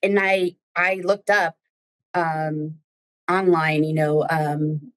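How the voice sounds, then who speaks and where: Brief: woman guest whose first language is Spanish, lowish voice, animated storyteller. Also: slow. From United States. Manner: lively storyteller, acts out what she said. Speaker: daycare director, nun. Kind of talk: casual interview chat.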